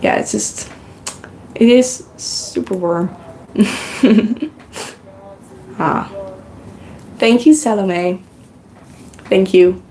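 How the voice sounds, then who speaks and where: A woman's voice: mid-range voice, drawn-out words, long pauses, dark tone, slight background noise, poor audio. A woman whose first language is Dutch, rambling casually on her knitting live stream and thanking viewers in the chat, recorded on a good microphone. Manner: rambling, chatty, reads and thanks chat comments. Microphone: good podcasting microphone.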